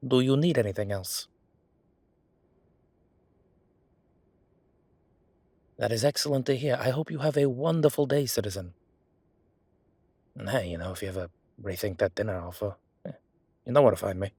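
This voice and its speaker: A man's voice: on the low side, brisk, a little mellow, slightly rough, dry recording. A man playing a chatty, sardonic records clerk who rambles wryly in a roleplay audio drama. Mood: theatrically innocent. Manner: rambling, wry, exaggerated feigned innocence.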